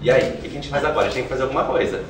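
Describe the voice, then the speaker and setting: Young male interviewee, rapid informal delivery, recorded at some distance in a reverberant room. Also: medium pitch. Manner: informal, colloquial, thinks aloud. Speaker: theatre artist being interviewed. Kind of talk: animated conversational interview answers. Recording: roomy, distant mic, faint noise.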